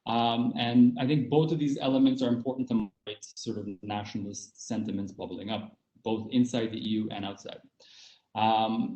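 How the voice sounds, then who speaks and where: Measured male academic, muffled and roomy. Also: mid-range voice, mellow. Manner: measured, many fillers and restarts. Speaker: panel speaker. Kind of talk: academic panel talk.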